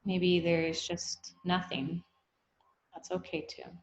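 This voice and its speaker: A woman guiding a meditation, speaking in an unhurried, gently instructive way with long pauses. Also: on the low side, level tone, dull audio. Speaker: meditation guide. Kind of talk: guided meditation, body scan. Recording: echoey room.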